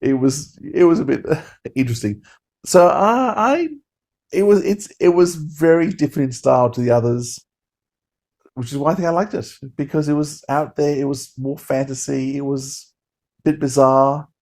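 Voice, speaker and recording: Man chatting enthusiastically with mellow voice. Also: mid-range voice, normal speed. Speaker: podcast co-host. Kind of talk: casual review chat.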